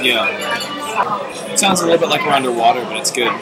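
A man's voice: mid-range voice, animated, fast talker. A young man co-hosting a casual review chat with dry, mock-serious asides, filmed up close at a restaurant table. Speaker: co-host. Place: busy restaurant bar at night. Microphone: camera held close at the table. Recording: very loud bar music behind.

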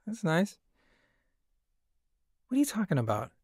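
A man's voice: high-pitched, wildly swooping pitch, measured pace, a little mellow, husky, dry recording, studio quality, exaggerated voice. A man playing a mild, skeptical husband in a radio drama.

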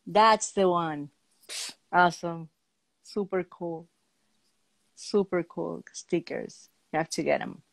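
A woman's voice: lowish voice, takes it slowly, vocal fry, pauses often, no echo, poor audio. A middle-aged woman with a foreign accent hosting a casual interview over a video call. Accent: foreign-accented English. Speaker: talk show host. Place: indoor room at home, on a video call.

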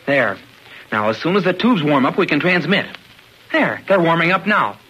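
An actor playing an eager, excitable high-school boy with an American accent in a 1940s radio serial, earnest and full of gee-whiz exclamations. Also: normal speed, husky, full character voice. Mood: urgent. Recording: noisy old radio broadcast recording.

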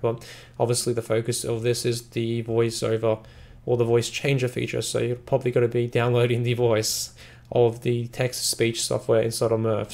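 A man hosting a casual software tutorial, explaining in a rambling, repetitive way. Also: mid-range voice, gravelly. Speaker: software reviewer, tutorial host. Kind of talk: casual screen-recording tutorial narration.

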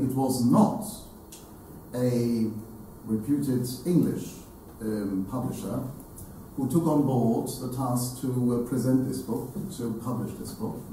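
An older man giving a formal introductory talk to an audience, in a halting, erudite manner with deliberate phrasing. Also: mid-range voice, mellow. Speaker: speaker introducing a literary event. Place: indoor salon hall with seated audience. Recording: echoey room, distant mic, faint noise.

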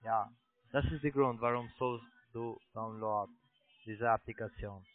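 A man with a foreign accent, not a native speaker, making a halting sales pitch over the phone in broken sentences with repeated restarts. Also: fairly even intonation. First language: not German. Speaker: phone sales agent, investment scam caller. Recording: tinny narrow phone line.

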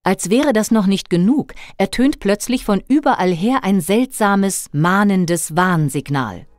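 A woman narrating a scripted children's story in an expressive storytelling manner. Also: mid-range voice, unhurried, no room sound, clean studio sound.

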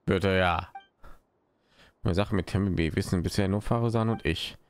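A man's voice: sits low, normal speed. A man giving casual live commentary as he streams a game.

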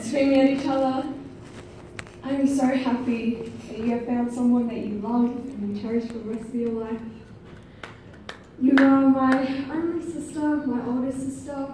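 A nervous young woman speaks softly in a fairly high voice with a mellow tone. She is caught from a distance in a reverberant reception hall. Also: a little hiss or hum. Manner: nervous, soft-spoken. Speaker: bride's sister giving a speech. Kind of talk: nervous wedding speech. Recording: echoey hall from far off.

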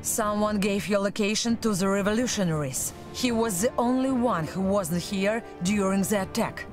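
A resolute female officer, acted by a woman in a video game cutscene. She speaks with earnest, commanding urgency at a middling pitch, with music underneath. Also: moderate pace, natural voice. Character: loyal, resolute female military officer and former security chief.

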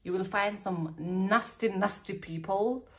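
Young Kenyan woman, candid storytime vlogger, animated and chatty, in her bedroom. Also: mid-range voice, a little slow. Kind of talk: casual storytime vlog. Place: small bedroom, indoors.

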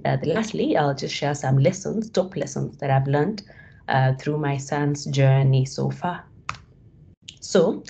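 Low husky woman on a call. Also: dark tone. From Kenya. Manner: hesitant, many fillers and restarts. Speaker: guest speaker. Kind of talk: online presentation on a video call. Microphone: video call. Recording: dull lo-fi call audio.

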